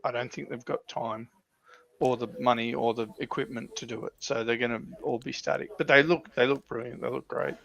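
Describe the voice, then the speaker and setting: A man's voice: mid-range voice, fairly even intonation, brisk, gravelly, a bit bright, poor audio. An Australian man chatting casually on a hobby livestream about military vehicles.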